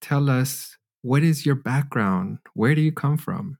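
A man's voice: mid-range voice, expressive, measured pace, gravelly, a little mellow. A man from Canada hosting a podcast, upbeat and curious as he asks his guest questions. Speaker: podcast host. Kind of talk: casual interview chat.

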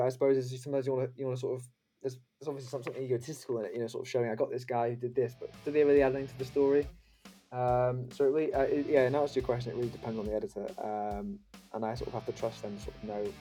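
A man gives interview answers at a quick pace in a soft-edged, mellow voice. He sounds reflective and conversational, hesitating and reworking his phrases as he goes. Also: mid-range voice, little pitch movement, soft background music. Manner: hesitant, self-correcting, reflective. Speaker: interview guest, journalist.

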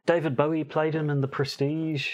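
A man co-hosting a casual podcast, talking in a wry, halting way with frequent restarts. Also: fairly high pitch, level tone, darkish tone. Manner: hesitant, many fillers and restarts, wry. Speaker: podcast co-host. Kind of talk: casual chat.

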